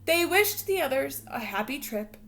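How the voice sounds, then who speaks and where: Female teacher for children's read-aloud: high, bright voice, expressive storytelling with guiding questions. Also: normal speed, slight background noise. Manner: expressive, instructional, addressing young children. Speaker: teacher reading a picture book to children.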